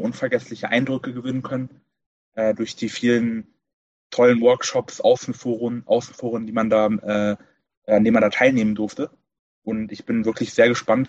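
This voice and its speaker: A twenty-two-year-old man speaks as a podcast guest, halting and repeating words as he searches for them. His delivery stays flat and even. The audio is dry and dull. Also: medium pitch, no room sound. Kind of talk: casual podcast talk.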